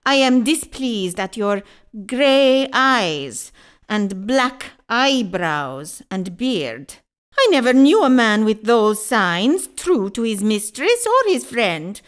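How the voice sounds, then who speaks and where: A woman's voice: on the high side, big pitch swings, takes it slowly, clear, resonant tone. A woman playing a shrewd, haughty, husband-hunting governess in a classical audio farce, with mannered, archaic phrasing. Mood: scornful. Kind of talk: classical stage farce acting in an audio play.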